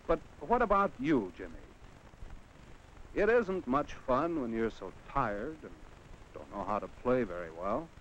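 Male narrator, American, mid-range pitch, highly animated, 1950 classroom-film style, slightly muffled vintage sound. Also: a little slow, slight background noise. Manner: addresses the child on screen directly with rhetorical questions. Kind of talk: 1950s educational film scripted narration.

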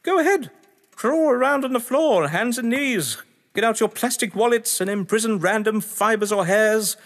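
A grandiose, sardonic gentleman of letters, played by a man in an audio drama. His voice sits high and swoops freely through eloquent, defensive speeches, ringing with long reverb. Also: animated, moderate pace. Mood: indignant, mocking. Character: haughty, theatrical games-obsessed male writer, defensive under suspicion. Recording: long echoing hall reverb.

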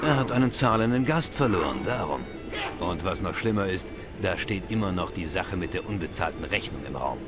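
Dry, lowish-voiced older pub sage. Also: male voice, animated, heavy background noise. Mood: dry, gossipy. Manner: dry, measured, explanatory. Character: older, knowledgeable, wry Irish pub regular. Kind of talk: dubbed adventure game dialogue. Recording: music behind the voice. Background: game music.

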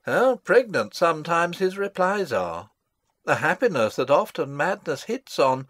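A man's voice: a little high, dry recording. A man playing a meddling, pompous old royal counsellor in a Shakespearean dramatic reading, deferential and ponderous.

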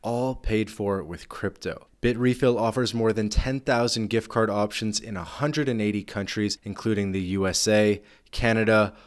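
A man's voice: lowish voice, fairly even intonation, moderate pace, hardly any pauses. A man reading a scripted sponsor message in an upbeat tone. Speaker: podcast host reading an ad.

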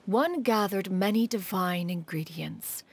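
A woman's voice: medium pitch, a little slow, husky. An actress playing an immortal crane adeptus in a video game, a motherly, stately woman who speaks formally and archaically. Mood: solemn recounting. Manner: formal, archaic, refers to self as one. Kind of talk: video game voice acting, solemn storytelling. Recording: game dialogue with music behind.

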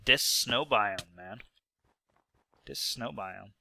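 A man's voice: on the low side, extremely slow, creaky, pushed and bright. A young man with a stuffy nose, sick, talking through his gameplay casually with rambling asides and fillers. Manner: rambling, self-mocking, lots of fillers. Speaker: gaming video host.

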